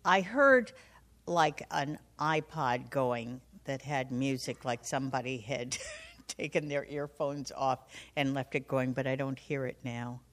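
An older woman, a veteran broadcast journalist, speaking on a panel in a slow, deliberate way with dramatic emphasis. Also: rumbling low. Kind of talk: panel talk. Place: conference hall.

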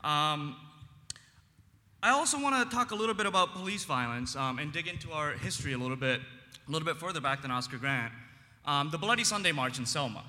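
Man, big bright voice, earnest panel debater with many ums, long hall reverb. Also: fairly high pitch, expressive. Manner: earnest, frequent ums, emphatic slowed phrases. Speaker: panel speaker. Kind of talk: impassioned public debate speech.

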